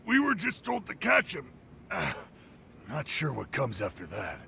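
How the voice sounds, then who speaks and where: Defensive game thug; high-ish sharp voice, very animated, whiny and jumpy. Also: male voice, takes it slowly, gravelly, a little hiss or hum, heavily acted. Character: cornered, defensive male street thug. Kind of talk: English video game dub acting.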